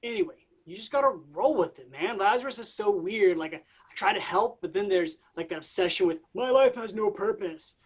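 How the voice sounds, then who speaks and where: A woman's voice: mid-range voice, animated, brisk, few pauses, slightly rough. A woman playing a vain, bored young male messiah who talks in slacker slang, in a staged reading. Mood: bored, offhand.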